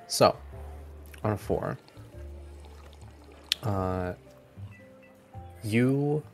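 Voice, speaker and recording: A man narrating as game master of a tabletop game, improvising in a halting, conversational way. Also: fairly low pitch, expressive, gravelly, soft background music.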